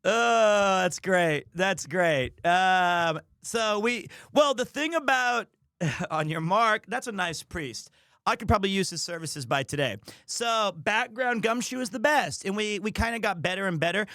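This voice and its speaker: A man hosting a livestream, talking casually to his audience in a rambling, jokey, expressive way. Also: high voice, unhurried, dry recording, clean studio sound. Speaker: livestreamer and host. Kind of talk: casual chat to a stream audience.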